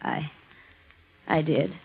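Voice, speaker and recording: A woman's voice: deep voice, extremely slow, vocal fry, breathy edge, heavily acted. An actress playing a frightened, evasive married woman in a 1940s radio drama, faltering and stammering as she defends herself under questioning. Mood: anxious, pleading. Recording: dull vintage radio recording.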